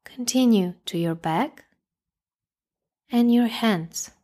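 A woman narrating a guided meditation, speaking calmly in measured phrases with long pauses. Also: on the high side.

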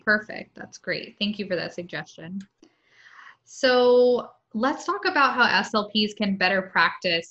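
A woman hosts a casual podcast interview, her voice bright and tense. She responds warmly to her guests with quick affirmations. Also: medium pitch, highs cut off. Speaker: podcast host.

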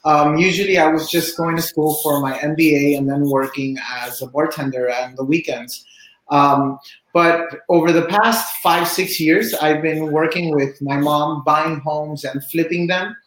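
A man's voice: fairly high pitch, fairly even intonation, measured pace, fairly bright tone, echoey room, poor audio. A young man from Cuba, a bartender and real estate agent, chatting in an upbeat way with many fillers in an interview. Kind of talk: casual interview answers.

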